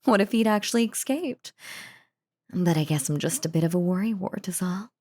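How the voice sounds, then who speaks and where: A woman's voice: fairly low pitch, lively intonation, normal speed, darkish tone. A woman playing a possessive, lovestruck yandere wife in an intimate audio roleplay, talking in a doting, gushing, cheerfully unhinged way. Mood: sweetly possessive. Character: lovestruck possessive yandere wife, sweetly menacing crazy stalker. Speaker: voice actor.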